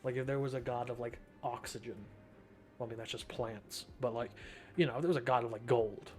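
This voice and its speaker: Excitable young male game streamer. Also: medium pitch, brisk, faint music behind. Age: young adult. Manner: excitable, slangy, joking. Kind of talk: casual gameplay commentary.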